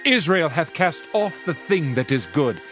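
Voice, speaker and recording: A man's voice: a little high, very animated, a little slow, fairly bright tone. A man playing God in a dramatized Bible reading, solemn and declamatory, pronouncing grave and wrathful judgment in archaic phrasing. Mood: stern, grieved. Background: music.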